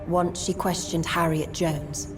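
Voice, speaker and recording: Game role: centuries-old sewer monster woman, wise and guarded, lower pitch, formal delivery, clear music bed. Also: fairly even intonation, slow pace. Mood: grave, guarded. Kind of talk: video game cutscene acting. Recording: loud music behind the voice.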